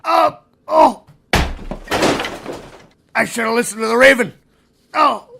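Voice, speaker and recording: A man playing a high-pitched, panicky small-time crook. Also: slow pace, creaky, exaggerated voice. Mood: dying.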